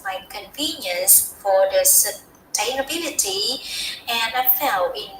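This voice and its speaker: A young woman from Vietnam speaks English with a Vietnamese accent. Her voice is bright and crisp, and she talks in an earnest, heartfelt way, sometimes restarting a phrase. She sounds like she is in an echoey room, with a faint hiss behind her. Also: medium pitch. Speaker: student speaker. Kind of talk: practice talk in a TED style.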